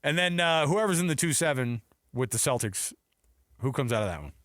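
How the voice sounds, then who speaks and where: A man's voice: mid-range voice, very animated, a little fast, a bit bright, no echo, clean studio sound. An American man hosting casual sports chat, prompting his co-host with questions and short reactions. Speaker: sports talk show host.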